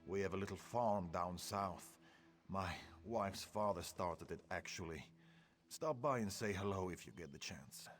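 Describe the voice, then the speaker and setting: Low-voiced gruff soldier. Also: male voice, a little slow, faint music behind. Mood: fond, wry. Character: gruff male soldier, a centurion and family man, weary. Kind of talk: video game dialogue acting.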